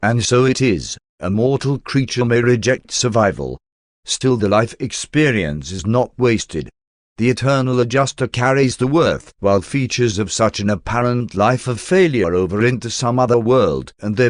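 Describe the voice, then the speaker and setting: A man reading a spiritual text as an audiobook narrator in a formal, measured and solemn manner. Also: medium pitch, no room sound, clean studio sound.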